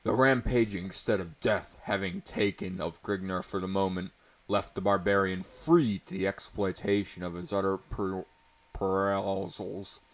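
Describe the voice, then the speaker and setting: Guy reading fantasy prose out loud, really slow and kinda low, hammy and amused. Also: steady tone. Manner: portentous, breaking into amused commentary. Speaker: narrator reading a story aloud. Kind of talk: mock-dramatic storybook reading with amused asides.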